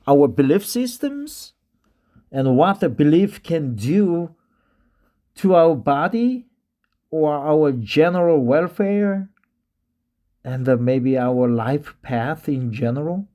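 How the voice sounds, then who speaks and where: A man with a Korean accent, a native Korean speaker practicing English in a casual vlog, slightly tipsy and halting, full of hesitation fillers. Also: on the high side, a little mellow. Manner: halting, many drawn-out fillers. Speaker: English learner vlogging. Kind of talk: casual talk and reading aloud.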